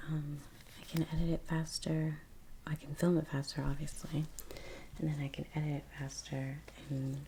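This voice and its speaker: A woman speaking in a soft, breathy half-whisper, chatting casually and haltingly in an ASMR style as she paints. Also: deep voice, slight background noise. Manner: unhurried, halting, trailing pauses. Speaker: ASMR painting video creator. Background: soft brush sounds.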